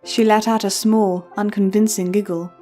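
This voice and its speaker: A woman's voice: mid-range voice, little pitch movement, normal speed, mellow. Woman, storyteller, scripted audiobook narration, mid-range voice, background music.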